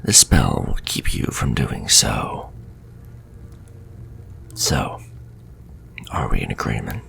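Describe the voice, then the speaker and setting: A man's voice: very deep voice, big pitch swings, heavily acted. A man playing a sly, sadistic, flirtatious warlock in an ASMR fantasy roleplay, murmuring breathily and taunting his listener. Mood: smooth, calculating. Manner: slow, taunting, breathy murmur with soft chuckles. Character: sly, sadistic, flirtatious male warlock striking a cruel bargain.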